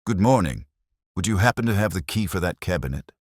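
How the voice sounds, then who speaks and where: A man's voice: deep voice, expressive, brisk, no room sound, studio quality. A man playing an unassuming, patient police inspector in a mystery story, polite and understated.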